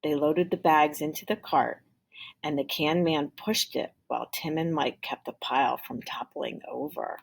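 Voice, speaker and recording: A woman reading a children's picture book aloud like a teacher, with deliberate, expressive emphasis. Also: low voice, creaky, breathy edge. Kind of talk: expressive storybook read-aloud.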